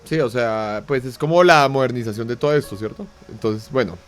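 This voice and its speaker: A man's voice: medium pitch, a little fast, fairly bright tone. A man from Colombia, co-hosting a talk show, chatty and casual with filler tags. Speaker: co-host. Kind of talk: casual panel chat.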